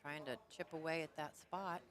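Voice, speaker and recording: Low alto woman, casual pool commentator, chatty and rambling, noisy hall behind. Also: steady tone, normal speed, a bit bright. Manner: chatty, rambling, laughs easily.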